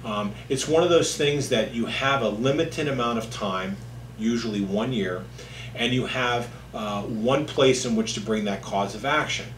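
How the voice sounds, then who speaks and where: A man in his fifties, a lawyer, explaining things to camera in a halting, conversational way in an office. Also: mid-range voice, fairly bright tone. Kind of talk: informal legal explainer to camera. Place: indoor office, seated at a distance from the camera. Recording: echoey room with faint hum.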